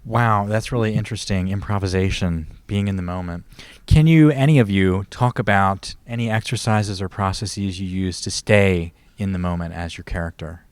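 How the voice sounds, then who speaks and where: Male interview host, American accent, deep pitch, crisp clear tone, conversational questions. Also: moderate pace, hardly any pauses.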